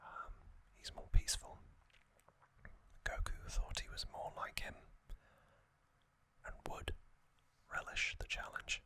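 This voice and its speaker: A man hosting an ASMR video, whispering a soft, hesitant recap and correcting himself now and then. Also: takes it slowly, pauses often. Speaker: ASMR host.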